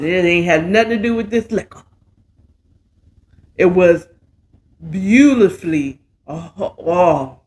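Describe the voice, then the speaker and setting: An American man hosting a show, casually retelling a romance story with dramatic, sassy asides and drawn-out exclamations. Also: a little high. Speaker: host of a story recap show.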